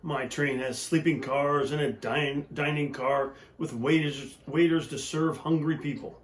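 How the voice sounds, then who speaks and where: A man's voice: fairly high pitch, steady tone, echoey room, natural voice. A man voicing a proud, snooty male passenger engine in a storybook reading, haughty and drawn out. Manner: haughty, drawn-out scornful delivery.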